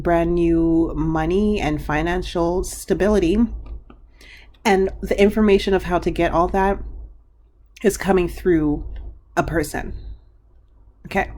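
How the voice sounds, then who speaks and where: A woman reads tarot cards aloud in a loose, rambling manner, circling back and restarting her sentences. Her voice sits a little low and has a slight hoarseness, and a faint ambient hum runs underneath. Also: a little mellow. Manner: chatty, meandering, many fillers and restarts. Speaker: tarot card reader. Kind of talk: pick-a-card tarot reading.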